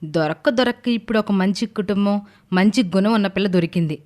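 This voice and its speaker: A woman's voice: medium pitch, fairly quick, darkish tone, lightly acted. Woman acting an insistent young daughter. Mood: persuasive. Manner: persuasive, insistent. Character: young village daughter, practical, insistent adviser. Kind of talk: moral story cartoon voice acting.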